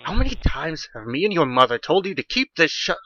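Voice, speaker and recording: A man's voice: mid-range voice, normal speed, pushed and bright, dull audio, slight background noise, close to an everyday voice. A man voicing an irritable, dismissive father in his forties in an audiobook horror story. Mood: irritated. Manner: scolding, complaining. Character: irritable, dismissive forty-six-year-old father and husband.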